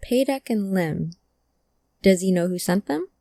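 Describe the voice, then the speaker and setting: A woman acting an earnest, emotional hacker searching for a lost friend in a cyberpunk audio drama, with halting delivery. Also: medium pitch, lively intonation, gravelly, dark tone, no room sound. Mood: wary. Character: distressed, determined hacker woman seeking her lost friend.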